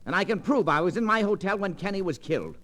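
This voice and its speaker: A man's voice: sits high, animated, fast, a bit bright. An actor playing a nervous, prim man with stiff, hesitant speech in a vintage radio detective drama. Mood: defensive. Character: nervous, meek, prim male suspect in love.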